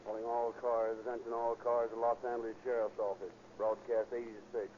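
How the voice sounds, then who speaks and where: A man's voice: medium pitch, level tone, fairly quick, warm tone, lightly acted. A man playing a terse, matter-of-fact police dispatcher in a 1930s radio drama, clipped and procedural, on an old radio recording. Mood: terse, official. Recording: muffled, faintly noisy 1930s radio recording.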